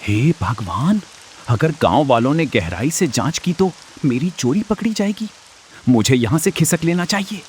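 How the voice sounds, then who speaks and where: An actor playing a guilty, cowardly male servant in a cartoon story, husky, anxious and protesting. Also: fairly high pitch, sing-song, normal speed, darkish tone, slight background noise. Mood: panicked. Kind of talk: dramatic Hindi moral-story cartoon acting.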